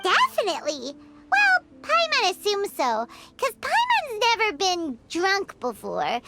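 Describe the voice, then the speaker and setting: A woman voicing a small, cheerful, chatty childlike fairy companion in an animated video game style. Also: very high-pitched. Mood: chirpy, earnest. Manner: speaks of self in third person. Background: music.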